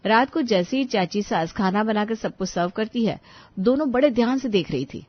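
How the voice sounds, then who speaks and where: A woman's voice: on the high side, animated, moderate pace, dry recording, muffled recording. A woman narrating a scripted moral family story as a storyteller.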